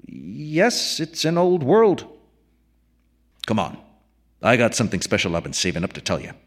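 A man voicing a brooding veteran lighthouse keeper in a story reading, wistful and mysterious, with a hushed, folksy, ruminative way of spinning a yarn. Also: mid-range voice, huge pitch swings, moderate pace. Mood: musing.

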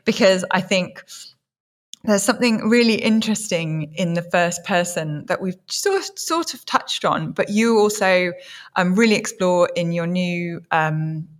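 Interviewer: woman, pitch a touch low, conversational podcast host, tentative and reflective phrasing. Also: a little slow.

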